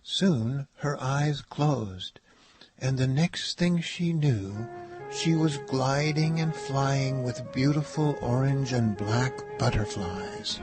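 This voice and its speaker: A man's voice: medium pitch, slow pace. A man narrating a children's story as a read-aloud. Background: music.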